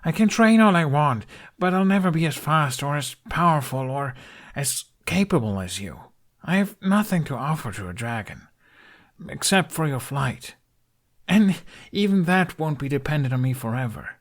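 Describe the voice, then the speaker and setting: A man's voice: on the high side, lively intonation, moderate pace, pitched above the performer's own voice, lightly acted. A man voicing a self-deprecating young man in an audiobook, downcast, wry and given to mumbling. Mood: dejected.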